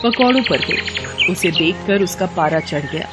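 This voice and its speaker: Expressive woman storyteller, lively pitch swings. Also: unhurried, loud music behind the voice. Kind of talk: scripted children's story narration. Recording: loud rain and ambience behind.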